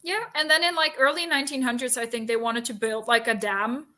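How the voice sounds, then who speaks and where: A woman's voice: fairly high pitch, steady tone, fairly quick, sharp tone. A woman chatting casually and explaining things in an informal, talkative way, with asides. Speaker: book discussion co-host. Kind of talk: casual chat about books and history.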